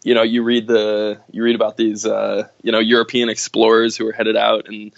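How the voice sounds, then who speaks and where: A man chatting casually in a conversation, talking haltingly with many fillers and restarts. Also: fairly low pitch, completely flat delivery, gravelly, no room sound. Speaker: guest. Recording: dull lo-fi sound.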